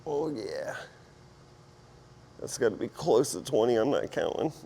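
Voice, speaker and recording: A man with a hoarse, partly lost voice talks casually and self-deprecatingly as a fitness vlogger while he exercises. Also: medium pitch, very animated, unhurried, warm tone, a little hiss or hum. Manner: informal, self-deprecating, rambling while exerting himself. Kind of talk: casual workout vlog chat while exercising.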